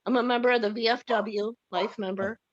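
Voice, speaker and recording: A woman's voice: a little high, fairly even intonation, fairly quick, no echo. Woman on dull, lo-fi call audio. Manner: hesitant, filler-heavy. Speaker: meeting participant. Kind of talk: casual self-introduction. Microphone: video call.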